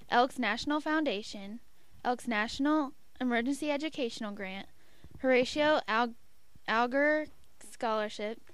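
A woman's voice: fairly high pitch, smooth, low-quality recording. A teenage girl reading scholarship announcements from a sheet for a student news show, in a school hallway with a handheld mic. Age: teens. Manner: reads a list, stumbles on a name. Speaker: student reporter.

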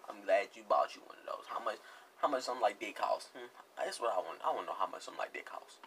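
A man's voice: on the low side, animated, fast, a bit bright, a little hiss or hum. A young man giving casual, slangy reaction commentary, repeating himself and exclaiming jokingly. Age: young adult. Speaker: reaction video host. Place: indoor room with green screen.

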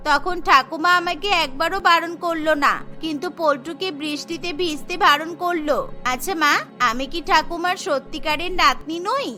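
A woman's voice: very high voice, normal speed, bright, forceful tone, faint music behind. A woman voicing an innocent little girl in a cartoon, with a pleading, childlike manner. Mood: hurt pleading. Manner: plaintive, pleading.

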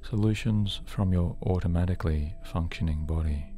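Low, mellow male hypnosis guide. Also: a little hiss or hum. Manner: soothing, measured, deliberate phrasing. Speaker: hypnotherapist host. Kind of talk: guided sleep hypnosis narration. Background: background music.

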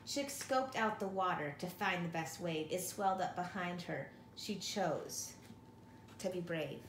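A woman's voice: on the low side, unhurried. A middle-aged woman reading a picture book aloud to young children, expressively, like a teacher addressing her class. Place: living room at home, indoors. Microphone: camera or phone a short distance away. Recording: roomy home recording with faint noise.

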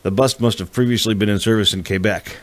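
A man's voice: lowish voice, fairly even intonation, quick pace, no echo. A man reading a novel as a scripted audiobook narration.

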